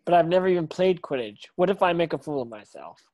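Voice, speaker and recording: A man's voice: a little high, animated, quick pace, darkish tone, highs cut off. A man playing an unsure, modest young boy wizard in an amateur table read.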